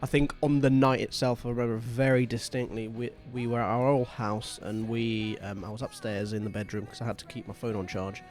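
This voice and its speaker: A man with a mid-range voice hosts a casual band podcast. He talks in a chatty way and is quick with jokes and teasing. Faint music plays behind him. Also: mid-range voice, steady tone, darkish tone. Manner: chatty, joking, quick to tease. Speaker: podcast host and band member. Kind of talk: casual chat.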